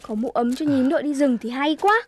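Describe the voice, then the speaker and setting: A woman voicing a gentle, naive, earnest little rabbit in a children's cartoon. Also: sits high, expressive, a little fast. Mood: kind, tired. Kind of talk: Vietnamese children's cartoon acting.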